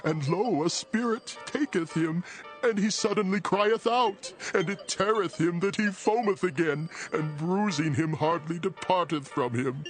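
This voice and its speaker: An actor playing a desperate middle-aged father, imploring with drawn-out cries in a dramatized scripture reading. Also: high voice, soft background music, rough recording. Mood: desperate, pleading. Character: desperate, pleading father of an afflicted son.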